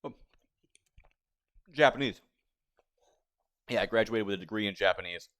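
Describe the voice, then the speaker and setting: A young man in his twenties talks casually to his livestream chat, his voice sitting somewhat low. He rambles and circles back on himself as he answers viewers. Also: moderate pace, fairly bright tone. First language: English. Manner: rambling, chatty, reads chat aloud. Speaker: streamer.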